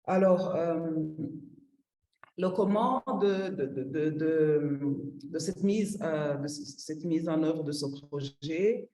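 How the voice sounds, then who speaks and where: A woman's voice: deep voice, takes it slowly, mellow, slight background noise, rough recording. A middle-aged woman from Rwanda presenting her project for women, often hesitating and repeating small words while she searches for the next one.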